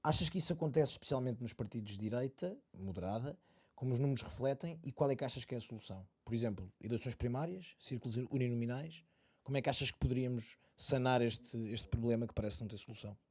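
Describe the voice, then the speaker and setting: A man's voice: mid-range voice, fast, husky. A man from Santarém with a European Portuguese accent, hosting a casual podcast interview and asking long, multi-part questions. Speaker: podcast host.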